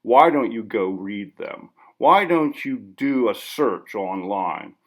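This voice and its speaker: A man's voice: on the low side, lively intonation, slow pace. A man voicing a bitter, defensive sculptor in an audiobook story, curt and profane under questioning. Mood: angry. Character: bitter, hostile injured male sculptor being interviewed.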